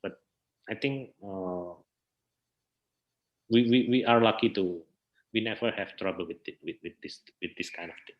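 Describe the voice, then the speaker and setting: A man's voice: lowish voice, normal speed, long pauses, muffled recording. A man with an Indonesian accent answering interview questions conversationally, often searching for his words. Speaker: interview guest, theatre researcher and festival director.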